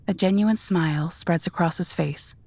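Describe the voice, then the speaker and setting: A woman reading scripted narration for a dark audio drama in a measured, descriptive storytelling manner. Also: on the low side, dry recording.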